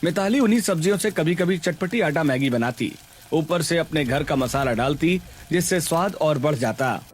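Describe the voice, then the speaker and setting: A man's voice: a little high, animated, moderate pace, a little hiss or hum. A man giving scripted narration for a family story in storybook style.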